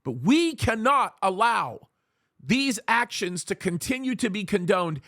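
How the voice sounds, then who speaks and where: A man's voice: sits high, sing-song, unhurried, pushed and bright, no room sound, studio quality. A pastor, a man, preaching emphatically to his viewers, pleading and repeating phrases for weight. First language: Arabic is his second language, English first. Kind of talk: preaching commentary to camera.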